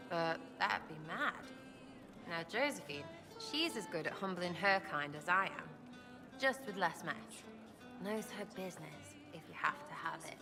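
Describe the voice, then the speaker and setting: A woman's voice: on the low side, creaky. A woman playing a brash, irreverent young English commoner in a video game, talking in blunt, choppy slang. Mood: cheeky. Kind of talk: video game character acting.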